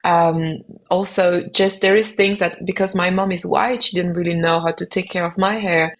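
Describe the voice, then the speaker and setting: Soft-toned reflective French-speaking woman. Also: on the low side, fairly even intonation, normal speed. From Belgium. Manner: reflective, searching for words with frequent ums. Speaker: musician guest. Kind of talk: podcast interview answers.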